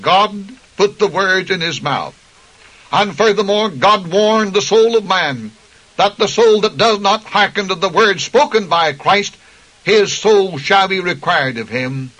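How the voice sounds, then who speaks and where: A man's voice: sits high, expressive, unhurried, sharp tone, poor audio. A man preaching a radio sermon with impassioned, emphatic delivery, citing scripture chapter and verse.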